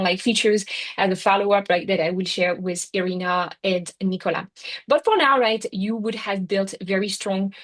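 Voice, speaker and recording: A middle-aged woman with a French accent gives an online workshop talk in a chatty way, filling her sentences with "you know, like" and "right?". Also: medium pitch. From France. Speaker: workshop speaker. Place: Singapore.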